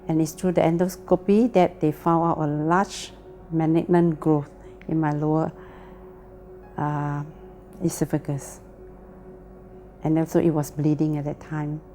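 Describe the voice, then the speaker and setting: Low, flat-voiced Malaysian woman. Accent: Malaysian English. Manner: plain, earnest and unhurried. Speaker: testimony speaker. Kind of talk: personal testimony.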